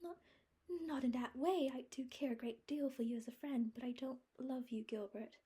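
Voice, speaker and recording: A dreamy, emotional young woman, as a woman reader puts her on, talks in a high, breathy voice that often sinks to a whisper. Her husky tone sits well above the reader's own low voice. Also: steady tone, normal speed, dark tone. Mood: distressed. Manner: breathy, rapturous, at times whispering. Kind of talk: audiobook character voice.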